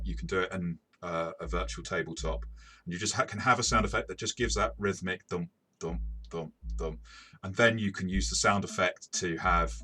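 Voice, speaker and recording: A man with a fairly low voice and a bright, forceful edge hosts a relaxed review chat. He speaks in a halting way, circling back over words and often chuckling as he talks. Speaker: host, game master reviewing tabletop RPGs. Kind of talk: casual review discussion.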